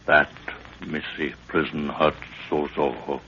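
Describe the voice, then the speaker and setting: A man's voice: a bass voice, level tone, takes it slowly, pushed and bright, full character voice. A man playing a loyal, stoic African guide who speaks broken pidgin English in short phrases, in 1930s radio acting style. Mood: stoic, watchful. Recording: dull, noisy 1930s radio recording.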